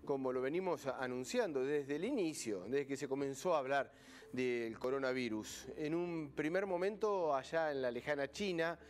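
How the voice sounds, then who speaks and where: A man with an Argentine Spanish accent hosting a broadcast news interview, chatty and quick to fill pauses with short agreeing sounds. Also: medium pitch. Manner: chatty, many "ujú" backchannels. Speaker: news host.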